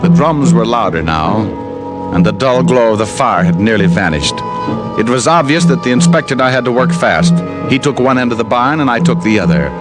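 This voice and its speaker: An actor playing a wry, hard-boiled private detective who narrates an old radio drama in a dry, measured way. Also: male voice, mid-range voice, animated, lightly acted, rough recording. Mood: narrating. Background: dramatic music score.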